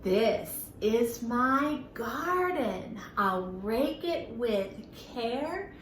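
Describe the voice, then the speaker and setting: A woman's voice: a little high, animated, drawn-out words, fairly bright tone. A middle-aged woman hosting a storytime for young children, speaking in simple, sing-song phrases with emphatic words and questions to her listeners. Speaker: children's library storytime host. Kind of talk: children's lesson with rhymes and questions to young viewers. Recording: roomy echo with background noise.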